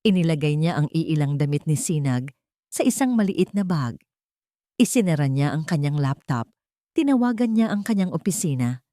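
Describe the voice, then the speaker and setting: Female storyteller, Filipino accent, dramatic audio drama narration, lowish pitch, dry close sound. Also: lively intonation, normal speed, dark tone, no room sound.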